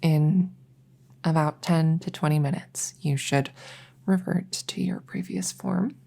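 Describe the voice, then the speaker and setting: A woman plays a reassuring witch veterinarian in a soft ASMR roleplay. Her voice is low and mellow with a husky edge. She talks in a soothing, slightly hesitant bedside manner, gently chatting to a nervous patient. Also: fairly even intonation, takes it slowly, full character voice. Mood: gentle, explaining.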